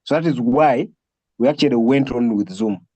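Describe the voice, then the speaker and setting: A man hosting a casual group video call, speaking haltingly and often repeating his words. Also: mid-range voice, mellow, no echo, highs cut off. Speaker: host of an online film session.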